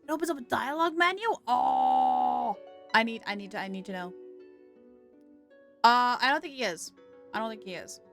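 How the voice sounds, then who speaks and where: A woman's voice: a little high, normal speed, gravelly, pauses often, a bit bright, faint music behind. A woman livestreaming a game with casual, chatty commentary, reacting aloud as she goes.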